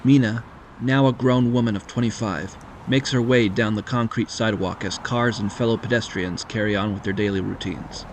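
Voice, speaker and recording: A man reads scripted story narration for an audio drama. His voice sits fairly low with plenty of creak, over a faint bed of background noise.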